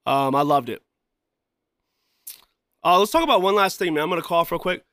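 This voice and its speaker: Male solo podcast host, slightly high voice, loose rambling delivery, keeps coughing and clearing his throat, dry close mic. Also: moderate pace, sharp tone, no echo. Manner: loose, rambling, repeats himself, frequent "you know" and "man". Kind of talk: casual solo podcast talk.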